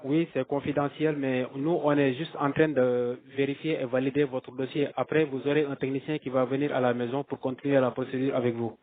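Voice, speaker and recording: A man's voice: medium pitch, little pitch movement, fairly quick, few pauses. A male telemarketer on a sales phone call, speaking in a polite, procedural way. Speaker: telemarketer booking energy renovation appointments. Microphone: telephone call. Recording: dull lo-fi phone call audio.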